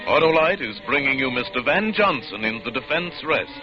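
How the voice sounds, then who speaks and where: A man's voice: medium pitch, lively intonation, moderate pace. A man announcing a 1950s radio show in a theatrical, formal scripted style. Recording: muffled vintage radio with music.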